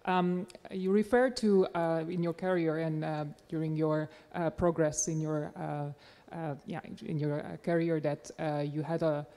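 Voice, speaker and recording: A woman's voice: sits low, steady tone, a little slow, few pauses, warm tone. A woman in the audience asking a question hesitantly, with many fillers. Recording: long hall reverb.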